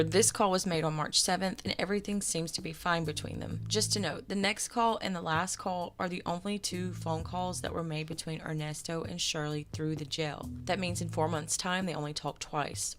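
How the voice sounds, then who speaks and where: A woman's voice: fairly low pitch, noisy background. A woman reading scripted narration as the host of a news commentary channel. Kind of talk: scripted narration over recorded calls.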